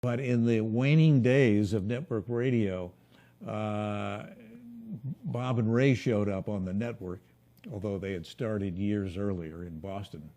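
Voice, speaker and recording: A man's voice: fairly low pitch, slow, dark tone. A man giving an explanatory historical talk. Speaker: author on radio history.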